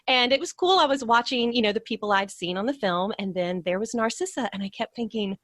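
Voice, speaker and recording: A woman's voice: a little high, lively intonation, brisk, fairly bright tone, no echo, clean studio sound. A woman hosting a podcast, speaking as an interviewer introducing and chatting with a guest. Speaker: podcast host. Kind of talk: scripted podcast introduction and friendly interview chat.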